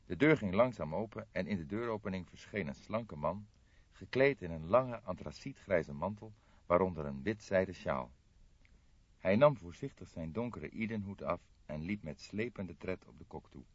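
A man's voice: low-pitched, animated, moderate pace, dry recording. A man reading scripted audiobook narration of a detective story. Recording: dull, dry lo-fi recording.

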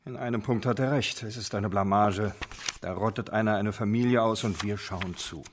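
An actor playing a sober lead homicide investigator, measured and matter-of-fact, in a radio drama. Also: male voice, fairly low pitch, fairly even intonation, slight background noise, natural voice. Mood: businesslike.

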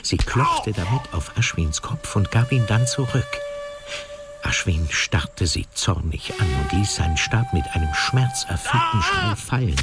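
A man reads scripted story narration for a fantasy radio play. His voice is low, and music plays clearly behind it. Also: huge pitch swings, unhurried. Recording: music under the voice.

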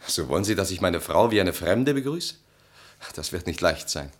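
An actor playing an uneasy, civil husband in a German radio drama, with a hesitant, politely formal way of talking. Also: male voice, deep voice, lively intonation, normal speed, echoey room. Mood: guarded, stiff.

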